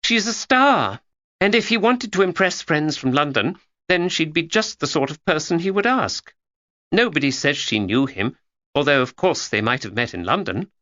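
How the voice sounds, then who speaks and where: A man's voice: on the high side, animated, normal speed, pushed and bright, no room sound, lo-fi sound, pitched above the performer's own voice. A man voicing a chattering, know-it-all schoolgirl who lectures and teases. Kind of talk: audiobook character voice.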